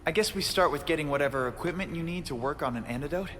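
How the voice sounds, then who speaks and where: An actor playing a wisecracking young American superhero in a video game, earnest and restless, with a youthful voice and glib delivery. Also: male voice, fairly high pitch, fast talker, fairly bright tone, long reverb tail, slight background noise, close to an everyday voice. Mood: breezy. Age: young adult. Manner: glib, quipping. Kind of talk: video game cutscene acting.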